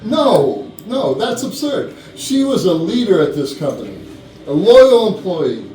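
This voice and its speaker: A man's voice: sits high, very animated, a little slow, warm tone, distant mic. A man playing a flustered, defensive company boss in a staged murder mystery comedy, protesting emphatically. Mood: indignant. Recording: echoey room from afar, faint noise.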